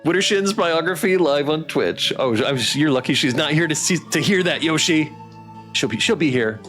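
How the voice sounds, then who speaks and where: A man's voice: a little high, normal speed, a bit bright. A man streaming a game, chatting casually as he reads game text and viewer comments aloud. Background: game music.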